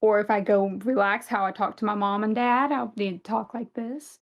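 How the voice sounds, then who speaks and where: A woman's voice: medium pitch, fairly even intonation, normal speed, dull audio. A young woman with a Southern US accent co-hosting a casual fan podcast, chatty and rambling with many fillers. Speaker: podcast co-host.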